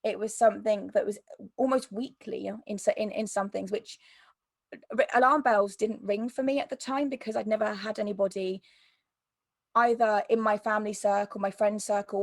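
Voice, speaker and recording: Croaky-voiced woman telling her story. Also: mid-range voice, fairly even intonation, normal speed, no room sound. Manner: hesitant, many ums and restarts. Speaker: interview guest, mental health YouTuber. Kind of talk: personal story in conversation.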